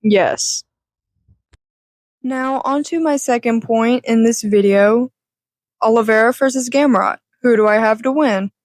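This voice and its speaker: A woman with a raspy voice giving a candid, rambling rant to her channel, swearing casually. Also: on the high side, unhurried, pauses often, a little mellow, dry recording, clean studio sound. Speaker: YouTuber.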